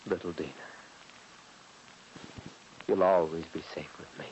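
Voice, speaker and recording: Protective leading gentleman in vintage radio drama: lowish, measured, reassuring, with faint noise. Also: fairly even intonation, low-quality recording. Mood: tender. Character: calm, protective, rational gentleman and sweetheart. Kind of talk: 1940s radio drama acting.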